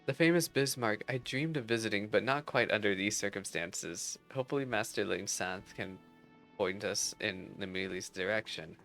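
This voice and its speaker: A man's voice: on the low side, normal speed, a bit bright, faint music behind. A man voicing an earnest, wistful musician searching for a friend in a fantasy game, polite and reflective. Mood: wistful, curious.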